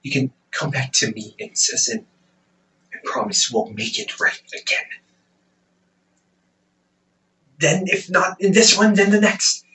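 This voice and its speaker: A young man playing a protective, weary half-demon older brother in a dramatic audio roleplay, pleading and exasperated with emphatic, halting delivery. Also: on the high side, sing-song, bright, forceful tone, distant mic, slight background noise, rough recording.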